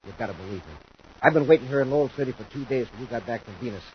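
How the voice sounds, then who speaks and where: An actor playing a nervous crook on the run who pleads anxiously, in a 1950s radio drama. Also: male voice, medium pitch, animated, racing, close to an everyday voice. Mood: urgent. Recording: dull old radio recording with hiss.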